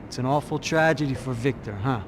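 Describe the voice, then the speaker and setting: A man's voice: medium pitch, a little fast, lightly acted. Sardonic cop, voiced by a man. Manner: sardonic, knowing, lecturing. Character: sly, cynical Brazilian police detective, man. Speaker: police officer. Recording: game scene with loud street traffic.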